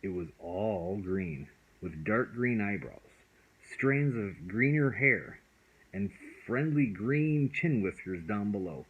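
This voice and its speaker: Low husky man reading a bedtime story. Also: lively intonation, slow pace, narrow, tinny audio. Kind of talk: storybook narration for a child.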